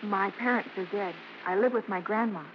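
A woman's voice: mid-range voice, moderate pace, lightly acted. An actress playing a shy, eager young chorus girl, timid and polite, in old-time radio style. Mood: nervous, hopeful. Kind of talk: 1940s-50s radio acting. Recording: dull old radio broadcast, light hiss.

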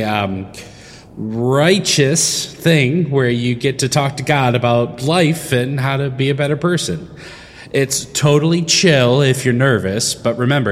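An actor playing a mild, earnest young priest in a comedic audio drama, polite and hesitant, trying to sound cool. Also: male voice, medium pitch, lightly acted. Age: young adult.

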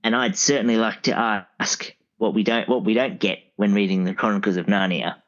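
A man talking casually as a podcast guest, in halting, hesitant phrases. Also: lowish voice, fairly even intonation, dull audio. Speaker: podcast guest, audiobook expert. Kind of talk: casual conversation.

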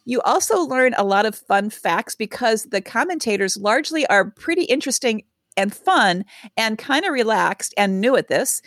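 Podcast co-host, woman, wry storyteller, mid pitch, dry close sound. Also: expressive, normal speed, fairly bright tone, no echo. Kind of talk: casual podcast chat.

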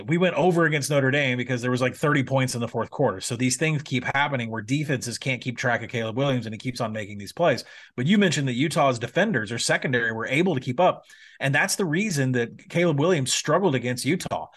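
A man co-hosting a betting podcast, breaking down college football matchups in a quick, analytical way with fillers. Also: mid-range voice, fairly bright tone. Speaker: sports betting podcast co-host. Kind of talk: casual betting analysis chat.

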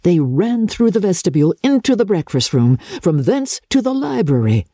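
A woman from Canada reads classic fiction as a practised narrator. Her tone is mellow and dark, and the sound is dry. The delivery is measured and expressive, in the manner of dramatic literary storytelling. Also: lowish voice, no room sound. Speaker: audiobook narrator, actress and radio host.

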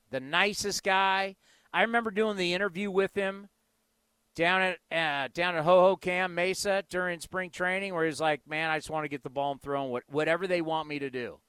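A man's voice: fairly high pitch, moderate pace, a bit bright, clear, resonant tone, no echo. A man hosting a sports radio show, delivering an impassioned, emphatic, conversational monologue.

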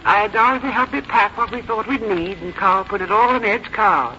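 An actress playing a plain-spoken old country mother, folksy, wry and quietly determined, in 1950s radio drama style. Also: mid-range voice, fairly quick, natural voice. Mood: pleased, reminiscing. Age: older. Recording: dull, noisy 1950s radio recording.

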